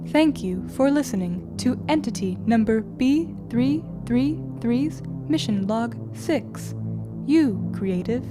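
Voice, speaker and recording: Woman acting slow, polite AI guide. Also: on the high side. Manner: measured, formal, courteous. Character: polite, formal female AI guide system. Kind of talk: audio drama announcer, scripted.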